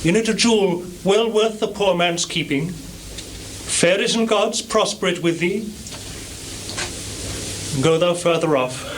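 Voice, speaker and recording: A man's voice: high voice, slow, long pauses. An actor playing a blinded, despairing old nobleman in solemn Shakespearean stage style, on a noisy live theatre recording. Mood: resigned.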